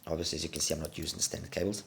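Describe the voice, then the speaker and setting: A man's voice: rumbling low, flat delivery, very fast, gravelly, fairly bright tone, a little hiss or hum. A middle-aged man, congested with a cold, giving a casual, hesitant product review and repeating words for emphasis. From Portugal. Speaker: audio gear reviewer.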